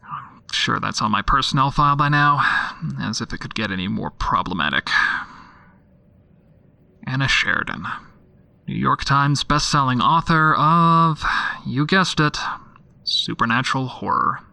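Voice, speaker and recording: Gravelly, sardonic homicide detective. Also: male voice, medium pitch, unhurried, long pauses, no echo, full character voice. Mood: dry, sardonic. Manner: dry, sardonic, deadpan. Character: jaded, sceptical male homicide detective, curt and irritable. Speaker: homicide detective recording case notes. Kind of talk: audio drama monologue, dictated case log.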